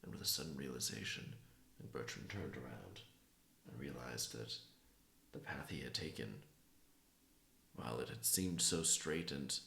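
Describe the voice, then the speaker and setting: A man improvising a folktale, telling it haltingly with pauses between phrases in a gravelly, creaky voice. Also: a bass voice, expressive, sharp tone, a little hiss or hum. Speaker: writer improvising a story. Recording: roomy, echoing sound.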